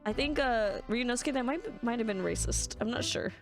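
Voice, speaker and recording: A woman's voice: a little high, normal speed. A woman streamer giving casual, joking commentary while playing a game, swearing freely. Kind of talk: casual let's-play commentary. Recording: game music behind.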